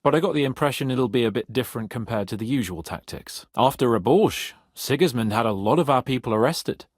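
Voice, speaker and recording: Husky, grim medieval rebel. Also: male voice, mid-range voice, expressive, brisk, no echo, clean studio sound. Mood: wary, serious. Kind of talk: video game character dialogue.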